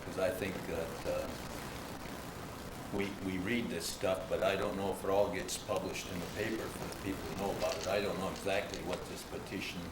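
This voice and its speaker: Man, deep voice, hesitant questions, distant echoey recording, loud noise. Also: fairly even intonation, brisk. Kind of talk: asking questions at a meeting.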